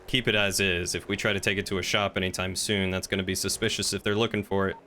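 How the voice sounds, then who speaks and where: A man's voice: low voice, level tone, fast talker, sharp tone, soft background music. A man chatting casually in character while streaming a crime roleplay game.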